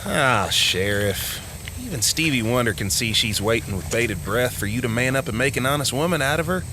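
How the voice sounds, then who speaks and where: An actor playing a chatty, teasing, excitable small-town American deputy with a folksy, colloquial manner in an audio drama. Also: male voice, medium pitch, normal speed, noisy background, close to an everyday voice. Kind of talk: full-cast audio drama acting. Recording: music bed under the dialogue.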